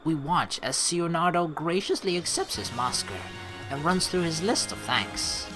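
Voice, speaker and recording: Guy doing a lets play, reads aloud and reacts, super lively bright voice, swears, game music behind. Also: a little high, measured pace. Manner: animated, swears freely, acts out reactions. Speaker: let's play host. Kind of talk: casual game commentary and reading aloud.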